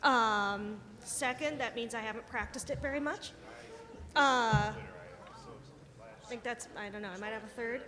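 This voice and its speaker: A woman's voice: a little high, a little slow, long pauses. A woman chatting in a self-deprecating way before playing a song. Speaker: writer panelist. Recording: echoey hall with loud crowd noise.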